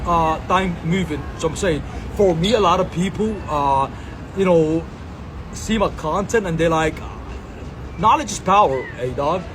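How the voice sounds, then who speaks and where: Rambling slangy man, street noise. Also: fairly high pitch. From Sri Lanka. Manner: meandering slang-filled talk with many fillers and repeated catchphrases. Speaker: content creator. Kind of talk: rambling self-filmed monologue. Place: outdoors in a public space.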